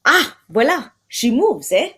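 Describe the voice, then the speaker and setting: A formal, theatrical Belgian detective played by a woman in an old-time radio mystery. The French accent is courteous and fussy, and the high voice moves through lively rises and falls. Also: slow pace, slightly rough, exaggerated voice. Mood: grave, courteous.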